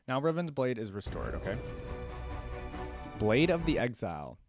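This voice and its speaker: A man's voice: mid-range voice, big pitch swings, normal speed, over music. A man hosting a reaction video, commenting casually and thinking aloud, often checking with a tagged-on question.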